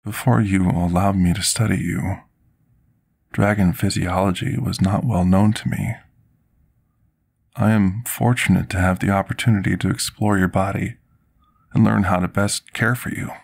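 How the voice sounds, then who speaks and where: A man playing a devoted potion master in an intimate fantasy ASMR roleplay, speaking husky and gravelly, with formal, courteous, slightly hesitant deference. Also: deep voice, steady tone, normal speed, full character voice. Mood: soothing, tender.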